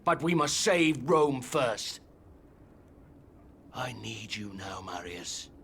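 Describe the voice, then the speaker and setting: An actor playing a seasoned, resolute Roman commander in a video game, speaking with grave, emphatic military oratory. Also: male voice, mid-range voice, sing-song, slow pace, fairly bright tone. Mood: urgent, solemn. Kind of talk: dramatic video game acting. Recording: clear background noise of game ambience.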